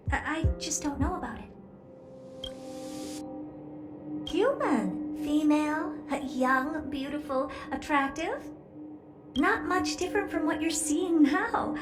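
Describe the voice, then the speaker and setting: A woman's voice: high voice, reverberant. A woman voicing an aloof, blunt, self-assured young-looking genius in a video game cutscene, haughty and nonchalant. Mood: blunt, matter-of-fact. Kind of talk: animated game cutscene dialogue.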